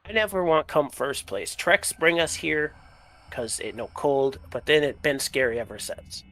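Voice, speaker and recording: A man's voice: a little high, moderate pace, fairly bright tone. A man voicing a skittish, simple-minded kobold mine guard in broken, repetitive pidgin for a tabletop game. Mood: wary, simple. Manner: broken pidgin grammar, dropped words, repetitive. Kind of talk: tabletop role-play acting.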